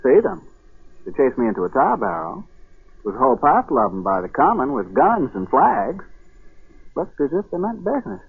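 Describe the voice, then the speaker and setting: An actor playing a shy, stubborn New England farmer who talks in a hesitant, rambling, folksy way in a 1940s radio drama. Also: male voice, fairly high pitch, huge pitch swings, normal speed, gravelly, husky, full character voice. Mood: folksy, unruffled. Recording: muffled vintage 1940s radio broadcast.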